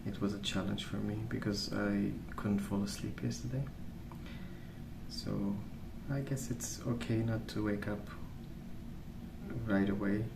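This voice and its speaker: A man rambling softly in an ASMR style, hushed, hesitant and unhurried. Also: fairly low pitch, fairly even intonation. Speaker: ASMR video host. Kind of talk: soft-spoken ASMR rambling. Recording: roomy, distant mic with clear noise.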